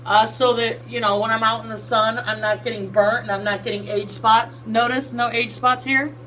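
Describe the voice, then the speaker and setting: A woman's voice: fairly high pitch, steady tone, moderate pace, fairly bright tone, slight background noise, low-quality recording. Chatty woman in her forties, folksy. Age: 40s. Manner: chatty, folksy, self-interrupting with fillers. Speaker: skincare vlogger. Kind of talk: casual how-to chat. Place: small home bathroom.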